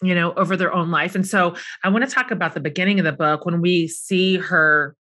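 A woman's voice: fairly low pitch, brisk, sharp tone. A middle-aged woman hosting a book club interview, asking long, enthusiastic questions that linger over her words. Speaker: podcast host.

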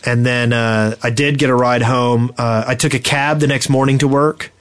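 Casting: young male podcast host, mid-pitched, chatty and animated, casual storyteller. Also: moderate pace. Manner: animated, acts out quoted exchanges.

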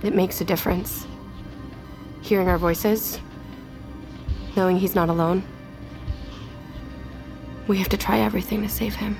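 Caring young woman, earnest, imploring, medium pitch, naturalistic audio drama, clear music bed. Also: steady tone, unhurried, pauses often. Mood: pleading, hopeful. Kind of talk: naturalistic fiction podcast acting.

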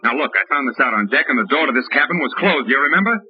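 An actor playing a tough, wry, world-weary American private detective in 1940s radio drama style. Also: male voice, medium pitch, animated, very fast, a little hiss or hum, natural voice. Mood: urgent, accusing. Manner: wry, hard-boiled, dramatic. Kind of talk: 1940s radio drama acting, hard-boiled narration. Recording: muffled old radio recording with music.

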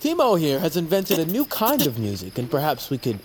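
An actor in an audio drama playing a brash, cheeky young tribesman who is quick to protest. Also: a little high, wildly swooping pitch, slight background noise. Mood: cheeky, eager. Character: cheeky, indignant young male tribesman, eager sidekick.

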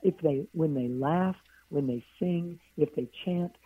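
A hoarse woman, a guest author on a radio interview, who answers in long flowing runs of stacked phrases. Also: sits low, a little slow, no room sound. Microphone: phone call. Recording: tinny phone line.

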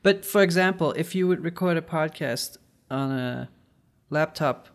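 A man co-hosting a podcast in casual chat, often stumbling and restarting his sentences. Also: a little high. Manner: halting, with frequent stuttered restarts. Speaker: podcast co-host.

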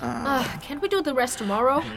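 A woman's voice: high voice, expressive, a little fast, vocal fry. A woman playing a playful, cheeky young human adventurer in a fantasy audio drama, slangy and theatrical in delivery. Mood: easygoing.

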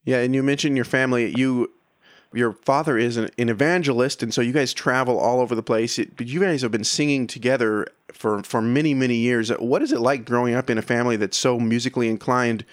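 A man's voice: mid-range voice, steady tone, brisk, no room sound. Man hosting an interview, dry sound. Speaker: interviewer, show host. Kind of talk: conversational interview questions.

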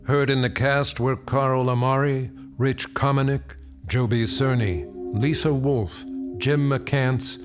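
A man reading radio show credits in a measured, formal manner. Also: medium pitch, fairly even intonation, music in the background. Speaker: credits announcer.

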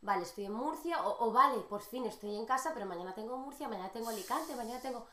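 Talkative Spanish woman, slightly high voice. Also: quick pace. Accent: Spanish from Spain. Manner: talkative, animated storyteller. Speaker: guest singer-songwriter. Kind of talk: casual interview chat. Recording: echoey room.

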